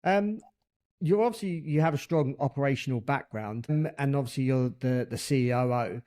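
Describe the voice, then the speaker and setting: A man's voice: mid-range voice, normal speed, warm tone. A man hosting a mining podcast, asking interview questions with hesitant fillers.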